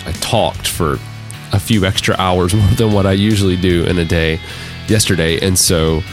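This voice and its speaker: A man with a deep baritone, hoarse from allergies, hosting a casual solo podcast, rambling with many restarts and fillers. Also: steady tone, normal speed, over music. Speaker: podcast host.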